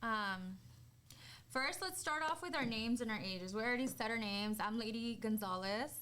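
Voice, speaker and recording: A woman's voice: on the high side, moderate pace, a bit bright. A woman in her twenties co-hosting a podcast in a casual, candid, informal way, often dropping "like" into her sentences. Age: 20s. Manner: informal, candid, peppers talk with "like". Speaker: podcast co-host.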